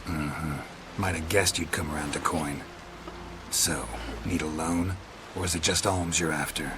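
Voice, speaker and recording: An actor playing a gruff, world-weary monster hunter for hire in a video game, speaking tersely and dryly. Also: male voice, very deep voice, fairly even intonation, a little slow, background noise. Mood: dry, skeptical. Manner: terse, dry, matter-of-fact.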